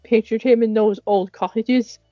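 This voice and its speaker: Very high-voiced Irish woman, casual chat. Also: steady tone, normal speed, no echo. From Ireland. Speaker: co-host of a fan chat. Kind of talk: casual chat between friends. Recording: muffled lo-fi audio with music behind. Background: music.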